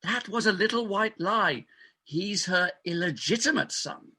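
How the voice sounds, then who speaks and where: A man's voice: high voice, animated, unhurried, bright, forceful tone, slightly rough, heavily acted. A man playing an exasperated, self-important hospital doctor, indignant and emphatic, in a comic stage farce reading. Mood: exasperated, scheming. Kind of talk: comic farce readers theatre.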